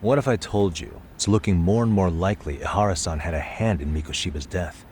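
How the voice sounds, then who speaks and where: A man playing a calm but insistent investigator in a dramatic game cutscene, pressing for answers in a serious, deliberate way. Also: sits low, a little hiss or hum, close to an everyday voice. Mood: probing. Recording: dramatic music behind.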